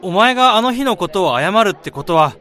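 Man acting a bright-voiced, earnest, loyal friend. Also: high-pitched, expressive, a little fast, a faint crowd. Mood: heartfelt, pleading. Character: kind-hearted young married man, loyal forgiving best friend. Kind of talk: Japanese radio drama acting.

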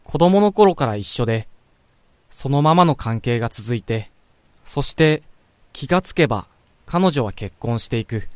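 Pensive young male lead for radio drama played by a man, wistful inner monologue, mid pitch, close dry studio sound. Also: animated, measured pace, dry recording, lightly acted. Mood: reflective, tender. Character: pensive young man quietly reflecting on a childhood friend.

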